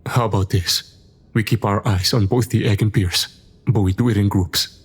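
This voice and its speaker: Wry, blunt crewmate in sci-fi audio drama. Lower pitch, husky grain. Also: male voice, steady tone, moderate pace. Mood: wry, resolved. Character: blunt, wry male crew member.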